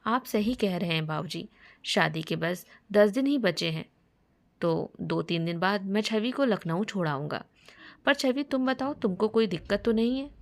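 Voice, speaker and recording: A woman's voice: medium pitch, animated, normal speed, vocal fry. A woman voicing a caring middle-aged father, respectful and a little unsure, in a family story. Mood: respectful, considerate.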